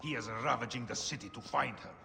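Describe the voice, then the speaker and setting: An actor playing a furtive, florid poet in ancient Alexandria, expressive and conspiratorial, in a video game. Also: male voice, mid-range voice, quick pace, heavily acted. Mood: grave, discreet. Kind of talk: dramatic video game acting. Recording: long reverb, clear background noise.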